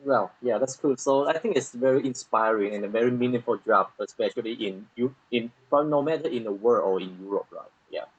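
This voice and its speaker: A man's voice: mid-range voice, fairly quick, hardly any pauses, breathy edge, dry recording, muffled recording. A man from Taiwan interviewing a guest, giving frequent short affirmations and summarizing her answers. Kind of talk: interview hosting.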